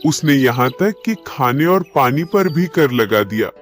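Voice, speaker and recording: A man narrating an animated animal story in a scripted storytelling style. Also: mid-range voice, measured pace, faint music behind.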